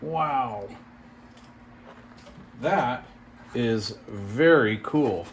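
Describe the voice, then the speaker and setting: A man's voice: medium pitch, lively intonation, extremely slow. Impressed man, noisy home-video sound. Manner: impressed, mild exclamations, thinking aloud. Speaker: product reviewer. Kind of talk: casual unboxing commentary. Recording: clear background noise and room ambience.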